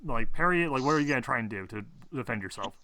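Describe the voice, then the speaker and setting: A man's voice: mid-range voice, expressive, very fast, bright, forceful tone, rough recording. A man acting as game master in a tabletop roleplaying session, casually narrating and explaining rules.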